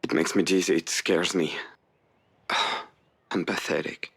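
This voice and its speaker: A guarded man in a visual novel drama. His voice sits low, and he talks haltingly and defensively. He is protective and self-effacing, keeping his own pain held back. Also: steady tone, vocal fry, fairly bright tone, husky, full character voice. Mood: vulnerable. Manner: hesitant, defensive.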